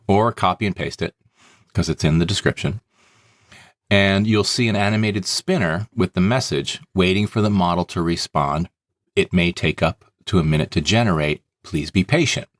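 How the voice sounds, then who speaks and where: A man's voice: low-pitched, dry recording, clean studio sound. A man hosting a podcast, talking conversationally and explaining things plainly, step by step. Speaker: podcast host and story producer.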